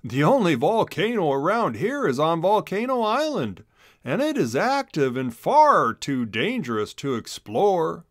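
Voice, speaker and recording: A man's voice: fairly high pitch, big pitch swings, unhurried, no room sound, clean studio sound, voice pushed up. A man voicing a kindly animal schoolteacher in a children's storybook, explaining expressively. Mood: amused warning.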